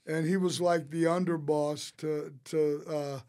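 A man tells casual, rambling anecdotes as a podcast guest, quoting people in an animated way. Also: fairly high pitch, measured pace. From St. Louis, United States. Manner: rambling, animated storyteller who quotes others. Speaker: interview guest, restaurateur. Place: small podcast studio. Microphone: close studio mic on a boom arm.

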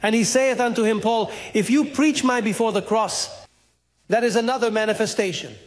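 A middle-aged man with a strikingly high voice preaches on a studio stage. He speaks into a handheld mic, animated, acting out the voices in his story and climbing to shouts. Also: moderate pace. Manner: animated, gesturing, acts out dialogue, rises to shouts. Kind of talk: sermon.

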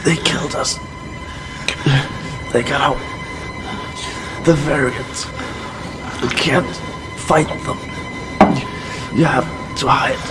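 A man voicing a dying soldier who gives desperate, halting warnings in an amateur game voice-over. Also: fairly high pitch, wildly swooping pitch, full character voice. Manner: halting, dramatic fragments. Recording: distant voice under loud scary music.

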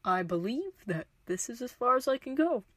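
A woman's voice: on the high side, big pitch swings, normal speed, fairly bright tone, dry recording, clean studio sound. A woman playing a wry, loyal and reassuring palace guard in a fantasy audio drama, easygoing and joking.

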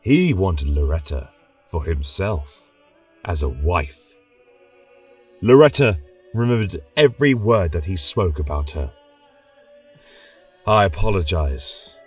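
A man's voice: low-pitched, big pitch swings, a little slow, pauses often, husky, recorded from a distance, faint music behind. A man narrating a scripted tale as a religious chronicler, in a solemn, reverent, theatrical style. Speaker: storyteller and podcast host.

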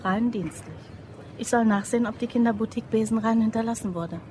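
A woman's voice: a little high, brisk, mellow, slight background noise, lightly acted. A woman playing a teasing, flirty, wry office worker in an audio crime drama. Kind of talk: German radio play dialogue.

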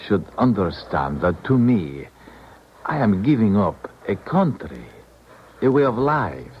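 Older defector, low pitch, swooping intonation, formal, hesitant, apprehensive, vintage radio play. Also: male voice, very animated. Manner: formal, halting, deliberate. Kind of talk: 1970s American radio drama acting. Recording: muffled, noisy 1970s radio recording.

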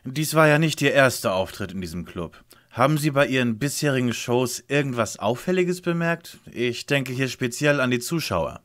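A man's voice: mid-range voice, lively intonation, normal speed, few pauses, a bit bright, close to an everyday voice. A man playing a sober, formal young lead detective in a parody radio play.

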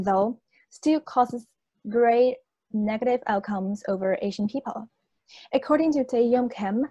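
Higher-voiced young Chinese woman giving a speech. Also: dark tone, no echo. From China. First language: Chinese (Hainanese and Mandarin). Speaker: student speech competitor. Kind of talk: persuasive oratory speech. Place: indoors on a video call.

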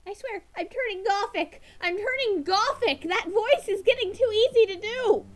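A woman's voice: very high voice, normal speed. A woman in a casual comic monologue, speaking in a melodramatic, hesitant way.

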